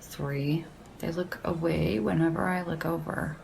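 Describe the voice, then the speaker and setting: A sardonic young woman with a low voice that crackles with fry. She talks in dry, slangy banter, teasing and unimpressed, as in an audio drama. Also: fairly even intonation, a little slow, a little mellow, exaggerated voice. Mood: deadpan needling. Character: deadpan, sardonic young woman who loves horror paperbacks.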